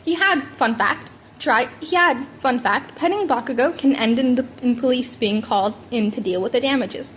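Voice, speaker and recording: A woman's voice: high voice, normal speed, a bit bright. A woman narrating a humorous story as an amateur audiobook reader, now and then stumbling and rereading a phrase. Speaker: narrator reading fan fiction aloud. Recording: home recording with background noise.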